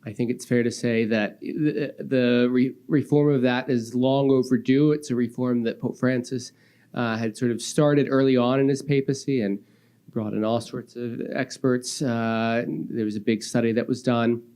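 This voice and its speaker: A man on a conference panel answering an audience question in a measured, explanatory way with some fillers. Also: medium pitch, steady tone, darkish tone, smooth. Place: conference hall.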